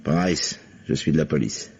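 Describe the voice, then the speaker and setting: Deep-voiced, blunt police investigator. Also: male voice, unhurried, gravelly, darkish tone, breathy edge, heavily acted. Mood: stern, probing. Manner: curt, insistent questioning. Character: blunt, probing male police investigator, stern and controlled. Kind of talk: vintage French radio mystery acting. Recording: dull, lo-fi old radio recording.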